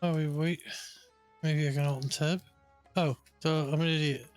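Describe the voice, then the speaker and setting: A man's voice: fairly high pitch, steady tone, normal speed, faint music behind. A man giving casual, offhand commentary as he plays through a video game. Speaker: gamer commentator.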